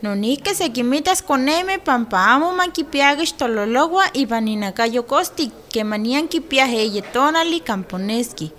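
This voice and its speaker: A woman's voice: high voice, lively intonation, a little slow, few pauses, a bit bright. A woman playing a curious young village woman in a radio drama, asking eager questions. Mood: inquisitive.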